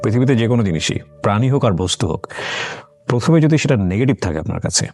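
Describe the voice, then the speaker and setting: Low-ish guy host, preachy reflective talk, music playing under it. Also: animated, fast talker, vocal fry, darkish tone. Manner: reflective, moralizing, mixes English words. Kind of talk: storytelling interview with commentary. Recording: background music under speech.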